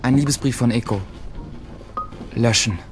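An actor playing a secretive, restless teenage schoolboy, narrating in clipped sentences in a radio play. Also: male voice, mid-range voice, normal speed, music in the background, close to an everyday voice. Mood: guarded, troubled. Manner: terse, clipped. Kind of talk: first-person radio drama narration.

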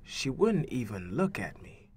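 A man's voice: mid-range voice, sing-song, a little slow, darkish tone, slightly rough, exaggerated voice. A man playing an intense, wounded teenage boy reciting slam poetry with emphatic, rhythmic, emotional delivery. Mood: hurt, bitter.

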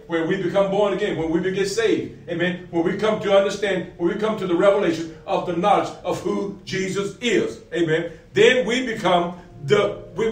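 A middle-aged man preaching a sermon as a pastor, asking and answering his own questions, repeating phrases and punctuating them with amens. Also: high voice. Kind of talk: sermon, preaching with scripture readings. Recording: roomy, distant mic, faint music behind.

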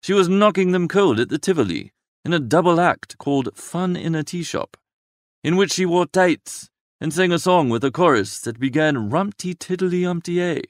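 A man reading a first-person literary story aloud as an expressive narrator. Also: fairly high pitch, moderate pace, dry recording, studio quality. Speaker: audiobook narrator.

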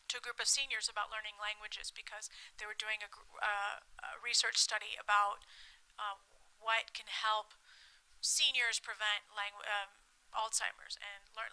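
A woman's voice: medium pitch, rough recording. A woman in her thirties whose first language is Russian, speaking animatedly as a panelist into a table mic in a conference room. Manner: animated, talks with her hands. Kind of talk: panel discussion. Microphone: table mic on stand.

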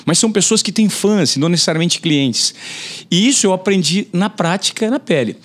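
Podcast guest: man in his forties, expressive studio talker, lively pitch. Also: a little fast. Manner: animated, gestures a lot, acts out quotes in a raised voice. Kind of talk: conversational interview. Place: podcast studio with brick wall. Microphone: studio mic on boom arm.

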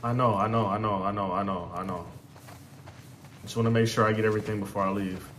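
A man's voice: lowish voice, steady tone, fast, a bit bright, slight background noise. Laid-back man narrating gameplay. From Louisiana. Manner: laid-back, profane, chatty. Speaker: gaming channel host. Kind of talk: let's-play commentary.

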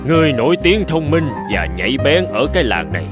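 A man's voice: on the high side, very animated, fast talker. Kindly older male chief, dignified and stately, folk-tale cartoon, music behind. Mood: genial, testing.

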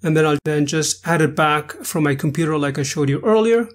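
A man presenting a software tutorial, narrating each step in an instructional way. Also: a little high, lively intonation, fairly quick. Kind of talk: scripted screen-capture tutorial narration.

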